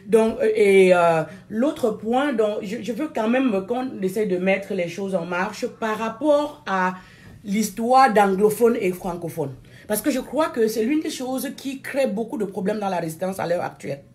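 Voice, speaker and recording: A woman from Cameroon, a native French speaker with a Cameroonian accent, giving an impassioned political address in an emphatic, deliberate way, repeating phrases for stress. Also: medium pitch, animated, a little mellow. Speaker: political activist addressing viewers.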